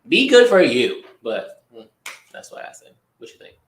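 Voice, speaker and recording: A man co-hosting a casual talk show, talking in an animated, expressive way with drawn-out emphasis. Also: fairly high pitch. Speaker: podcast co-host. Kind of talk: casual chat. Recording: echoey room.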